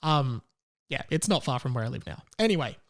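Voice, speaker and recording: A man's voice: medium pitch, very animated, fairly quick, a bit bright. An Australian man hosting a podcast solo in a casual, rambling, irreverent comedic style.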